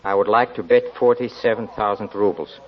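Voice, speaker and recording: An actor playing an obsessed, nervous young army officer in a 1940s radio drama, with a fervent, halting delivery. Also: male voice, fairly low pitch, natural voice. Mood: eager, confident. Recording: dull, band-limited 1940s radio recording.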